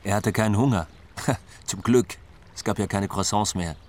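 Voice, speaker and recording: Actor as laconic friend, low voice. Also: male voice, normal speed, a little mellow, natural voice. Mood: wry, casual. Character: laconic, easygoing male friend of the husband. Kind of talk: interview-like radio drama narration.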